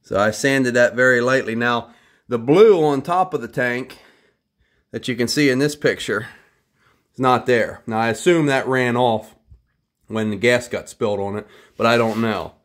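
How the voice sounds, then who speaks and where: Man, casual garage chat, wry jokes, handheld phone recording, mid-pitched voice. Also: moderate pace. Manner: chatty, wry self-deprecating jokes. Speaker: vintage three-wheeler restorer. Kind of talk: casual workshop show-and-tell. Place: garage workshop.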